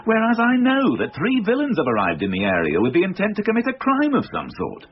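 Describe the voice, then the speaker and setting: A man's voice: sits high, huge pitch swings, fast, dull audio. A man playing a worried, grumbling police inspector in a radio drama, dry and exasperated in manner. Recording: band-limited radio drama with music.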